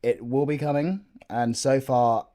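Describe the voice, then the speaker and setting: A man's voice: medium pitch, steady tone, a little slow, mellow. A British man hosting his audio roleplay channel, giving scripted comic announcements in a dry, exasperated straight-man manner. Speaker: audio roleplay creator and host. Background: music.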